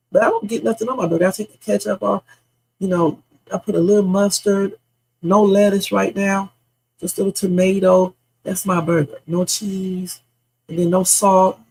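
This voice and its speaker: Gravelly, husky woman in her fifties rambling. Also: mid-range voice, a little mellow, low-quality recording. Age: 50s. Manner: blunt, salty, meandering storyteller. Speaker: livestream host. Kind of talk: rambling casual livestream chat.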